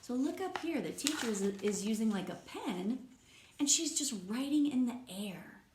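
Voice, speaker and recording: A woman teacher reading a picture book aloud to young children, stopping to ask gentle, encouraging questions. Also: on the high side, animated, normal speed, background noise. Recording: echoey room.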